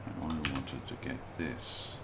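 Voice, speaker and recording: A man's voice: extremely low pitch, unhurried, heavy background noise. A man streaming a video game, casually thinking aloud and chatting with his viewers as he plays. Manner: relaxed, thinks aloud and chats with viewers while playing. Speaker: livestreamer playing a video game. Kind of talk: casual let's-play commentary.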